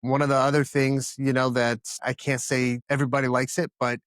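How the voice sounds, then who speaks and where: A man's voice: mid-range voice, little pitch movement, a little fast, a bit bright, no room sound, studio quality. A man in his thirties from the Dominican Republic, answering interview questions in an earnest, emphatic way and often restarting his words. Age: 30s. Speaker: podcast guest, company founder.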